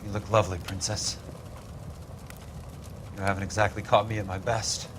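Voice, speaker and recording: Casting: male actor as battered tough-guy noir investigator, low voice, dry and sardonic, clear noise behind. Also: little pitch movement, normal speed, lightly acted. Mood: weary, gently wry. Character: wry, tough, wisecracking male investigator recovering from injuries. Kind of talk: film noir video game acting.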